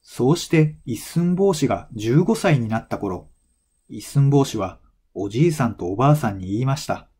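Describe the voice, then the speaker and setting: A man reading a folktale as a scripted narration for language learners, in measured, clearly phrased storytelling. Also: medium pitch, lively intonation, mellow.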